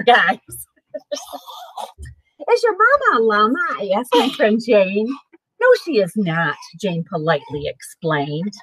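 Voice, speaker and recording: A middle-aged woman reading a children's picture book aloud in an expressive, sing-song way for young listeners. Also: medium pitch, breathy edge, dry recording, rough recording. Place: small home room with bookshelves, indoors.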